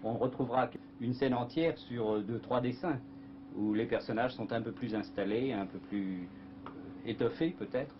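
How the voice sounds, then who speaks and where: A man's voice: mid-range voice, moderate pace. A man in an interview, talking conversationally with small hesitations. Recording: muffled hissy old tape.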